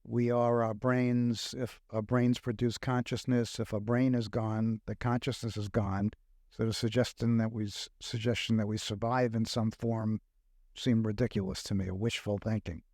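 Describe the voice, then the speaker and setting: An older man from Brooklyn with a New York accent, talking reflectively as a podcast guest in a studio, pausing often with fillers. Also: on the low side, flat delivery, a little mellow, no room sound. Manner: reflective, halting with many fillers. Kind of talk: casual podcast conversation. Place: recording studio. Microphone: close studio mic.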